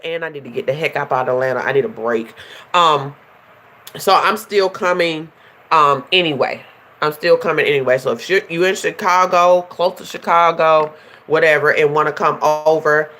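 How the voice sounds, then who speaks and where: A young woman, a life coach hosting a casual livestream and chatting directly to her viewers. She sounds stuffy and nasal from a runny nose, and a little hoarse. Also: low voice, a bit bright. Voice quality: slightly hoarse.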